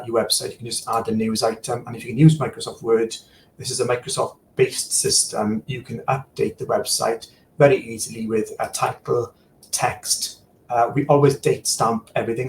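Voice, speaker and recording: A man's voice: medium pitch, slight background noise, low-quality recording. A man, a guest explaining his digital services in casual chat over a video call, with many fillers and repeated words. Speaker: guest, digital services supplier and former practice manager.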